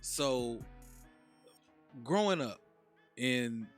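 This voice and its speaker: A man's voice: mid-range voice, lively intonation, drawn-out words, faint music behind. A man hosting a casual podcast interview, hyped and profane, repeating lines for emphasis. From Chicago south suburbs. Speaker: podcast host.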